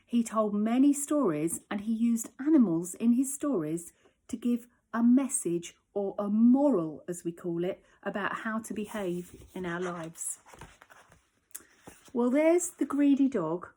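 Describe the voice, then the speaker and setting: Slow, expressive woman reading a story. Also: fairly high pitch. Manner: expressive storytelling, dropping to whispers for suspense. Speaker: primary school teacher. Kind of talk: reading a children's story aloud. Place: at home.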